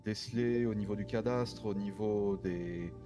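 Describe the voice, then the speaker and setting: Male, actor as game roleplay detective: measured, formal, hesitant, careful delivery over clear background music. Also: lowish voice, close to an everyday voice. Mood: careful, grave. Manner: measured, halting, formal phrasing. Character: male investigator, formal, methodical, hesitant and tactful. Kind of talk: improvised video game roleplay dialogue.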